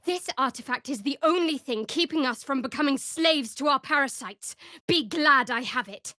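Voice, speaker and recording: An actress playing a guarded, devout young woman cleric in a video game, with a wry, measured delivery. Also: high-pitched, sharp tone, no room sound, clean studio sound. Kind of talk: video game character voice acting.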